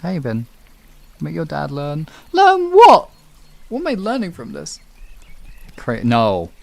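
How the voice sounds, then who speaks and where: A man's voice: fairly high pitch, huge pitch swings, slow, creaky, darkish tone, dry recording. A man with a British accent hosting a livestream, chatting casually and rambling informally with some swearing. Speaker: livestream host. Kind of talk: casual chat with stream viewers.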